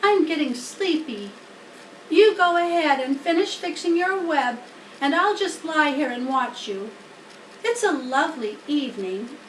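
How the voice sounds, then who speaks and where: A woman's voice: high voice, expressive, unhurried, voice pushed up. A woman voicing a humble, naive young male pig from a children's storybook, earnest and dreamy. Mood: sleepy, content. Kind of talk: children's storybook character reading. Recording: roomy, distant mic, faint noise.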